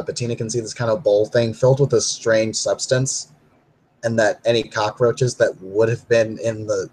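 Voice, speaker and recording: A man's voice: lowish voice, rough recording. A man casually narrating his character in a tabletop roleplay, rambling with many fillers. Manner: rambling, filler-heavy, laughs while talking.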